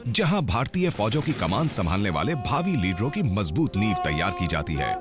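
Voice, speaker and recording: A man's voice: lowish voice, sing-song, normal speed. A man giving scripted, dramatic documentary narration. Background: music.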